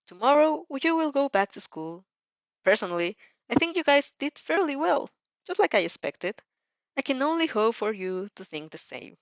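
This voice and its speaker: A woman's voice: high voice, big pitch swings, moderate pace, dry recording, dull audio, pitched above the performer's own voice. A woman voicing an easygoing, teasing young male hero mentor in an audio fan fiction. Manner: casual, teasing.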